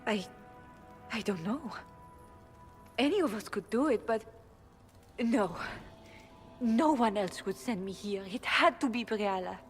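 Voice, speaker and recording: A woman's voice: on the high side, lively intonation, unhurried, pauses often, faint music behind. A woman playing a nervous, wary palace servant in a fantasy game, hesitant and uneasy. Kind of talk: fantasy game cutscene acting.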